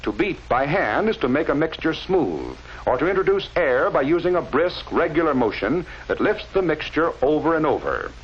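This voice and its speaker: A dull, slightly noisy vintage film soundtrack carries a man narrating in 1940s training film style. His voice sits a little high and he explains in a measured, didactic manner, enunciating each term with care. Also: very animated.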